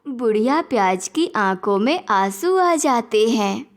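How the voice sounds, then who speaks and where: A woman's voice: fairly high pitch, lively intonation, slow pace. A woman reading scripted narration for a cartoon moral story as the storyteller.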